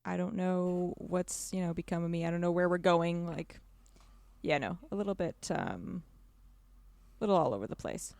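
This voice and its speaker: A woman with a slightly low voice chats as a podcast co-host. She talks informally and conversationally, and the sound is very dry, with no room echo. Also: normal speed, creaky, darkish tone. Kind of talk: casual gaming podcast chat.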